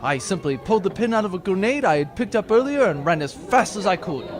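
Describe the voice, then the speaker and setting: Pompous, high-pitched man playing a swashbuckling space captain. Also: animated, fast, soft background music, some chatter behind. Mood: boastful. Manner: pompous, theatrical, self-satisfied. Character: boastful, swashbuckling male space captain hero. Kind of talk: comic audio drama acting.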